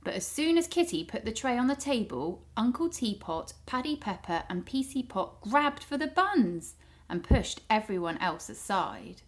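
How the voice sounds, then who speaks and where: Woman, children's story reader, fairly high voice, expressive storytelling for little ones. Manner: pauses to ask the child listeners questions.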